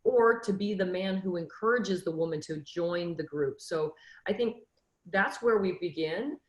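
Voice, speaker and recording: Earnest low-voiced woman on a video call. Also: measured pace, echoey room. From Northern California. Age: 50s. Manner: earnest, motivational, frequent fillers and restarts. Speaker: football coach, webinar panelist. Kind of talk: casual panel discussion.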